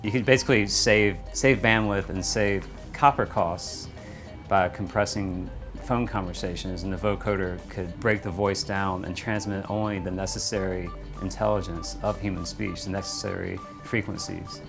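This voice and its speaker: A man with a low voice gives explanatory history commentary in a documentary interview. Music plays clearly behind him. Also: fairly even intonation, moderate pace.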